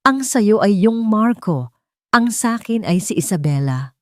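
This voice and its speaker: A woman's voice: mid-range voice, expressive, a little slow, no room sound, clean studio sound, pitched above the performer's own voice, natural voice. A woman with a Filipino accent voicing a protective, authoritative wealthy man in a romantic story. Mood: tender, earnest. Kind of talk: romantic audio drama storytelling.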